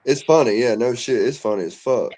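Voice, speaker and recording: A man in his thirties, a co-host in casual chat with friends, loose and profane and quick to laugh. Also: mid-range voice, warm tone, rough recording. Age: 30s. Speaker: podcast co-host.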